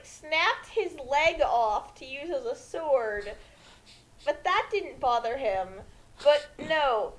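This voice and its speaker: A woman's voice: high-pitched, rough recording. A woman doing casual comedic commentary in an emphatic, incredulous manner.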